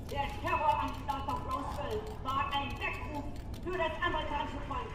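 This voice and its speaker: A woman's voice: sits high, steady tone, moderate pace, lightly acted. A woman playing a strident German official giving a political speech in a video game. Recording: very echoey, distant, noisy speech.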